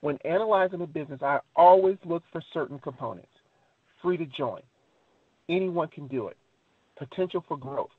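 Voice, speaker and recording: A husky, fairly high-pitched man delivers a motivational sales pitch on a dry, tinny phone line. He speaks emphatically, piling up repeated phrases and rhetorical questions with a preacher-like cadence. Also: moderate pace, dry recording. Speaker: network marketing presenter on a recorded conference call. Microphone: telephone conference call.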